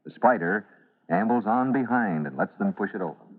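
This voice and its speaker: A man's voice: on the low side, expressive, brisk, noisy background. A man narrating a vintage radio serial dramatically, addressing listeners directly as its announcer. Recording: tinny old radio recording.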